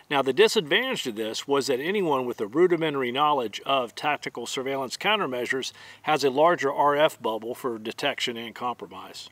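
A middle-aged man presenting radio equipment in scripted technical narration, with measured, formal and precise wording. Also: medium pitch, a bit bright, no room sound.

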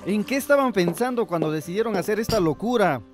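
A man's voice: high-pitched, animated, fast talker. A traditional husband in a village radio drama. He sounds perplexed and full of questions, with music playing behind him. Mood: disbelieving. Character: baffled village husband, traditional, slowly won over.

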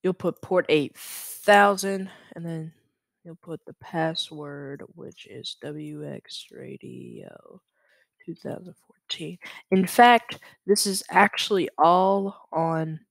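A young man talks through a software tutorial at a slow pace. His delivery is informal and halting, as he thinks aloud, and the sound is dry and close, with no room echo. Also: a little high, creaky. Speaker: tutorial presenter. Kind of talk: casual screen-recorded software tutorial.

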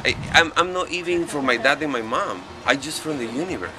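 A middle-aged man from Peru with a Spanish accent, talking casually in halting non-native English as an interviewed painter. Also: a little high, expressive. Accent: Peruvian Spanish. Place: outdoors on a porch. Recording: outdoor street noise.

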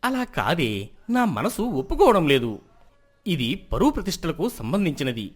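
Guy doing a proud rich husband in a story, status minded, very animated, slightly high voice. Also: moderate pace. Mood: defensive, proud. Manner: animated, self-justifying, conceding. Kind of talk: moral story dialogue.

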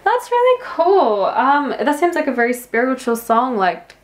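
A woman's voice: high voice, sing-song, unhurried. A woman in her twenties hosting a music reaction video, talking casually and enthusiastically, thinking aloud and laughing often. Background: faint music playing.

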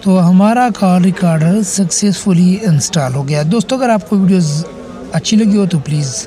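A man's voice: high-pitched, moderate pace, warm tone, faint music behind. A man hosting a casual step-by-step phone repair tutorial, instructing and often checking in as he goes. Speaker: tech tutorial host.

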